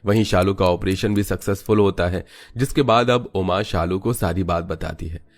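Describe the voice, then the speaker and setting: A man's voice: sits low, normal speed. A man narrating a scripted moral story as a storyteller.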